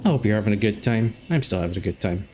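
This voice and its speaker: A young man with a stuffed-up nose giving casual, jokey commentary while playing a game, breaking into excited outbursts. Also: lowish voice, very fast, slight background noise. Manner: chatty, jokey, excitable outbursts during fights. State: stuffed up from a cold. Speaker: gameplay commentator. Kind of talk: casual let's play commentary. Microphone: desk microphone.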